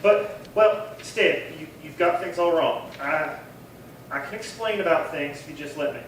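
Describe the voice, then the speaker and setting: An anxious rancher acted by a man with a high voice, pleading and halting in a western radio drama. The sound is distant, very echoey and noisy. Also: fairly bright tone, lightly acted. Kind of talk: old-time western radio acting. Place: live theatre stage performance. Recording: very roomy, distant, noisy stage pickup.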